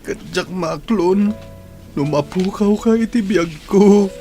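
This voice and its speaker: An actor with an Ilocano accent playing a devoted, distressed husband in a radio drama, tender and pleading. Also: male voice, high-pitched, slow pace, mellow, soft background music.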